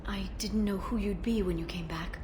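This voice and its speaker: A woman playing an earnest, determined alien woman in a video game drama, speaking softly and with some hesitation. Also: medium pitch, fairly even intonation, moderate pace, natural voice. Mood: moved, wistful. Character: earnest young alien woman, grieving yet determined information broker. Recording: clear background noise.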